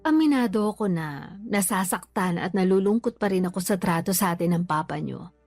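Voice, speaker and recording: A woman's voice: on the low side, animated, fairly quick, a little mellow, no echo. A woman playing a long-suffering, resigned mother in a Filipino radio drama, speaking with patient, plaintive delivery. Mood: sad, patient. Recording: faint music behind.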